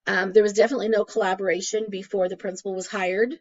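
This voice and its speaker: Hoarse woman presenting a case study. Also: medium pitch, level tone, fast talker. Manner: conversational, many "um" fillers. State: hoarse from a cold. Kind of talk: recorded class presentation. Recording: dull lo-fi recording.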